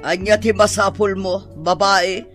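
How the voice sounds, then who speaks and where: A woman acting a demanding, wealthy mistress of the house in a radio drama, brisk, fussy and commanding. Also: medium pitch, level tone, fairly bright tone, faint music behind, natural voice. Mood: stern, bossy. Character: imperious, demanding wealthy mother and lady employer.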